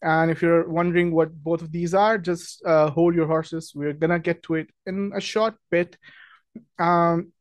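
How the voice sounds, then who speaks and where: Young man from Pakistan, friendly webinar host, slightly higher voice, dry close sound, many fillers. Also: fairly even intonation, a little slow, no echo. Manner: friendly, chatty, many ums and restarts. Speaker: webinar host, product marketing manager. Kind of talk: casual webinar presentation.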